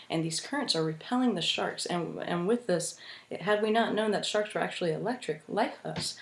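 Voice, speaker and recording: Earnest young woman, low voice, echoey room. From United States. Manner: earnest, halting, frequent restarts. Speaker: student speaker. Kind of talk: informative class speech. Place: room at home with roommates listening.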